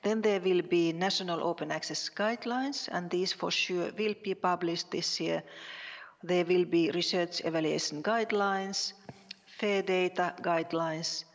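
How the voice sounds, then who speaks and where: A woman from Finland, giving a conference presentation in a halting way with many fillers and restarts. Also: on the low side, creaky, in a large hall. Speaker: conference speaker.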